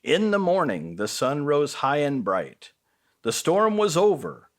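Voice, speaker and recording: A man's voice: fairly high pitch, wildly swooping pitch, measured pace. A man reading a children's bedtime story expressively as a storyteller.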